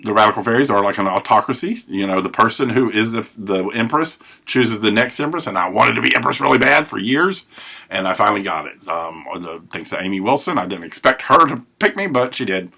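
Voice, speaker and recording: A man hosting a recovery podcast, telling his life story in a rambling, self-correcting way with a big, loud voice. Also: fairly low pitch, lively intonation, a little fast, vocal fry. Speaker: podcast host. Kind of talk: personal recovery monologue.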